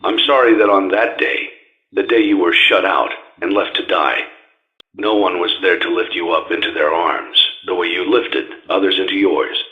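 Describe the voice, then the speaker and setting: An actor playing a solemn, grieving middle-aged inventor in a video game, speaking in a measured, formal, grave way. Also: male voice, low voice, fairly even intonation, slightly rough. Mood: sorrowful, tender. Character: weary, grieving older father, solemn and menacing, guilt-ridden inventor. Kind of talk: dramatic scripted monologue and taped instructions. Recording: dull lo-fi radio-like tape sound.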